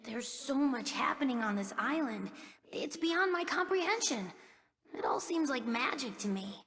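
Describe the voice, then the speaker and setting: A small, boyish young fox sidekick from a video game. The voice is high and earnest, with long reverb trailing it. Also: female voice, animated, normal speed, fairly bright tone. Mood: awed. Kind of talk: video game cutscene acting.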